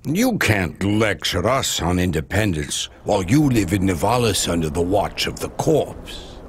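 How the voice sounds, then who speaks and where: An actor playing a devout, weary leader of starving outcasts in a video game, speaking in a solemn, preacherly, measured way. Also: male voice, sits low, sing-song, slight background noise. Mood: solemn fervour. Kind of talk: dramatic video game dialogue.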